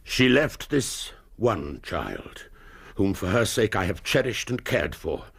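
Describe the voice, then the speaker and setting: Gravelly, anguished English duke. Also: male voice, fairly low pitch, big pitch swings, a bit bright, heavily acted. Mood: sorrowful confession. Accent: English, upper-class. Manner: formal, grave, halting under strain. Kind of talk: radio period drama acting.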